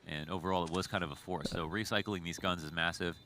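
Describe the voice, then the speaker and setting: Fast, bright low-voiced match commentator. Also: male voice, fairly even intonation, a little hiss or hum. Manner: fast, analytical play-by-play. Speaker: esports commentator.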